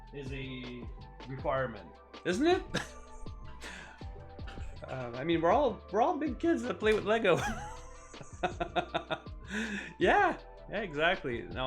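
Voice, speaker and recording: A man with a somewhat high voice, whose first language is French, talks casually on his live stream. He rambles with lots of fillers and restarts. Faint music plays behind him. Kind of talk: casual live-stream chat while building.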